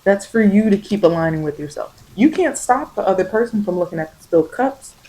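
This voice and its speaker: Dark, mellow-voiced woman reading tarot. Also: medium pitch, lively intonation, a little fast, rough recording. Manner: informal, repetitive, emphatic coaching. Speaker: tarot reader. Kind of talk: intuitive spoken tarot reading for ASMR.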